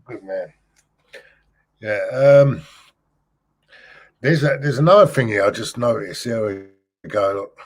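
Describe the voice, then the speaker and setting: A man hosting a casual football chat show who reads out news items and puts questions to his guest, speaking haltingly with frequent fillers. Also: medium pitch. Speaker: host.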